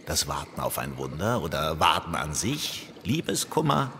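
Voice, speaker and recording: An actor in a radio play playing the devil's exasperated confidant, scolding and sarcastic. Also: male voice, extremely low pitch, big pitch swings, moderate pace, sharp tone, exaggerated voice. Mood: lecturing. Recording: long reverb, faint background noise.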